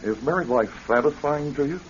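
An actor in a radio drama playing a male psychiatrist, questioning a patient in a measured, professional manner. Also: a little high, animated, a little hiss or hum, lightly acted. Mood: calmly probing. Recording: dull old radio recording with music.

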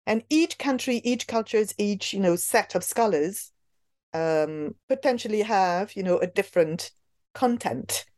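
A woman's voice: mid-range voice, lively intonation, unhurried, a little mellow, dry recording, studio quality. A woman being interviewed about a book she edited. She speaks in an academic way and hesitates often, with many fillers and restarts. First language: not English.

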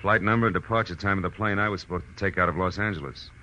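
Tough private eye, very low pitch, terse wry delivery, old radio drama, dull vintage sound. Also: male voice, very fast, sharp tone, slight background noise. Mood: brisk, wry. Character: tough, wry male private detective narrating his case. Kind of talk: 1940s radio drama acting, hard-boiled narration. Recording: muffled old radio recording with music.

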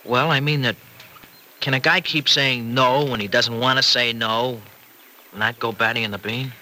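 An actor in an old-time radio drama playing a troubled, restless married farmer with a wayward past, speaking colloquially in halting, earnest confessions. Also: male voice, medium pitch, steady tone, bright, forceful tone. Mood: confused, hesitant. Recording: vintage radio recording, faint noise.

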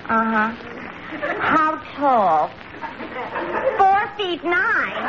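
A noisy vintage radio comedy with a loud audience features a brassy, man-hungry New single gal. Her voice is high and quick to take offense. She plays every line for comedy. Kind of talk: 1940s radio comedy acting. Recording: noisy, muffled old radio broadcast, audience.

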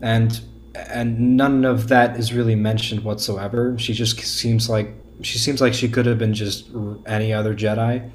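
A man as a podcast guest giving casual critique, halting and repeating words as he goes. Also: on the low side, little pitch movement, a little hiss or hum.

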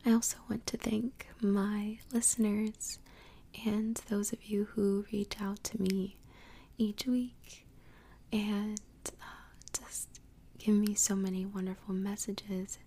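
A woman hosting an ASMR podcast in a soft, breathy whisper, speaking gently and without hurry into a close microphone. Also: medium pitch, slight background noise. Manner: gentle, slow, unhurried delivery. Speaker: podcast host.

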